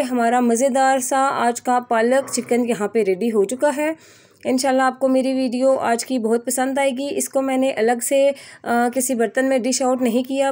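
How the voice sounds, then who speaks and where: A woman's voice: sits high, a little mellow. Chatty woman narrating a recipe. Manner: chatty, addresses viewers as friends. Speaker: cooking channel host.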